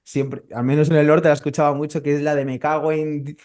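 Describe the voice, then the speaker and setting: A man from Portugal hosting a conversational podcast interview, speaking haltingly and repeating phrases for emphasis. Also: on the high side, level tone, darkish tone. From Portugal, moved to Spain as a child. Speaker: podcast host.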